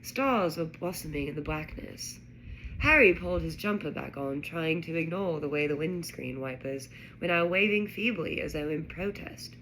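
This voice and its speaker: Female storybook reader, lower register, expressive and dramatic narration, light background noise. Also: normal speed, low-quality recording. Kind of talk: expressive read-aloud narration of a children's novel.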